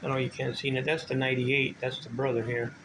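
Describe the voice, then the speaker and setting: A man's voice: medium pitch, little pitch movement, fast talker. An older man giving a casual, rambling show-and-tell, drifting between informal asides as he talks. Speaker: vintage bike collector demonstrating his gear. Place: indoor home workshop room. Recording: noticeable background noise.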